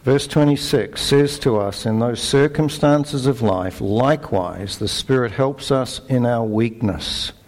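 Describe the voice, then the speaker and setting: A middle-aged Australian man giving a conversational sermon, relaxed and self-deprecating, with fillers and restarts. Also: medium pitch, measured pace, few pauses. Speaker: preacher.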